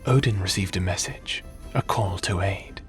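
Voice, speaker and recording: A man playing a gentle, literal-minded and courteous otherworldly lord in an ASMR roleplay drama. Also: sits low, faint music behind, natural voice. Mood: calm, noble. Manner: polite, precise, literal, unhurried wording.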